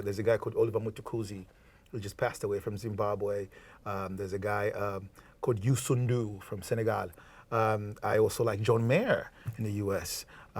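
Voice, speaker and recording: A man in his thirties from Zambia, a singer-songwriter guest talking casually in a TV studio, animated and quick to laugh. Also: fairly low pitch, no room sound. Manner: animated, laughs often, repeats words. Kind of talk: casual interview talk. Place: TV studio set.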